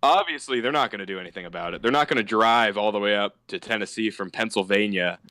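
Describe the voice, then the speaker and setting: A sixteen-year-old boy telling a story in casual chat, animated and laughing, sometimes jumping into high put-on voices. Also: fast talker, dry recording. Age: teens. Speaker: podcast co-host, storyteller.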